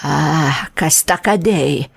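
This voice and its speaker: A woman's voice: low voice, very slow, vocal fry, breathy edge, no echo, full character voice. Cautious, probing Ilocano mother, dry sound. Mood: wary, probing. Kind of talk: Filipino radio drama acting.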